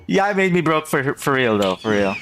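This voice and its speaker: A man's voice: fairly high pitch, a bit bright, slight background noise. A man chatting casually to viewers as a game streamer, rambling and often repeating his phrases. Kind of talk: casual livestream chat to viewers.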